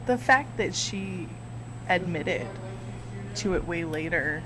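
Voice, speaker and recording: A woman's voice: fairly low pitch, expressive, slow, noisy background. A woman co-hosting a casual podcast, mostly giving short reactions and easy laughs. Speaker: podcast co-host. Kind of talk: casual chat.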